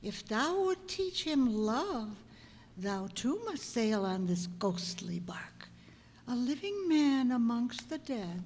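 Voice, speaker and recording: Slow, reverberant angel of doom. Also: female voice, on the high side, sing-song, darkish tone, exaggerated voice. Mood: stern. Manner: solemn, grand, archaic pronouncement. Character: stern heavenly messenger of God pronouncing doom. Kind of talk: old-time radio drama acting. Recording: echoey hall with long reverb.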